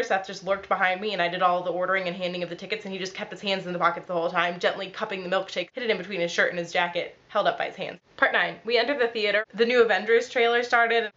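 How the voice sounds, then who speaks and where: A young woman who is ill and sounds nasal, telling a story in a quick, dry, deadpan vlog. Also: mid-range voice, lo-fi sound. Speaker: vlogger.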